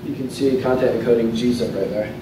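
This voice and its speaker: A man's voice: mid-range voice, steady tone, brisk. A man in his thirties giving a casual technical talk with a live demo in a hotel conference room, loose and conversational, often restarting his sentences. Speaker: conference speaker. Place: hotel conference room, in front of a projector screen. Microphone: lectern mic, recorded from a camera at the back of the room. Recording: very echoey room, voice from far off, clear noise.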